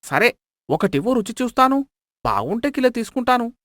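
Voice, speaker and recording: A man's voice: very high voice, very animated, a little fast, a bit bright, dry recording, heavily acted. A man playing a sly, miserly cloth merchant in a moral story, wheedling, exclaiming and justifying himself.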